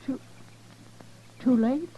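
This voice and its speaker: An actress playing an anguished American frontier wife and mother in a 1950s radio western, with a husky voice. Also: drawn-out words. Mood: stricken. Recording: muffled old radio recording.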